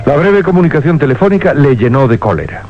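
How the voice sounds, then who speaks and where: A man's voice: on the high side, sing-song, fast talker. A man narrating an eerie radio drama as a dramatic storyteller of midnight tales. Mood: ominous. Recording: dull radio recording, music behind.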